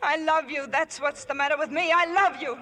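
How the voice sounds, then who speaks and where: A woman's voice: high voice, a little fast, sharp tone. An actress playing a bold, scheming young girl who is ardently infatuated, in a 1950s radio drama. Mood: adoring.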